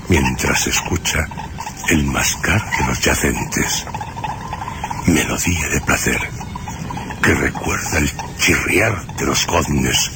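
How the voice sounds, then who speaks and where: A man narrating gothic horror in a solemn, deliberate, dramatic way with suspenseful pauses. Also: a bass voice, very noisy. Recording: music under the voice. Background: music.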